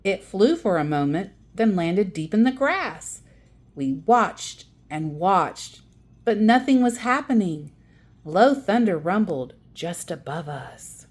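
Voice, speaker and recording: A woman reading a children's book aloud as a storyteller, with a dramatic, deliberate delivery. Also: on the low side, big pitch swings, a little mellow, slightly rough. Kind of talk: expressive children's book narration.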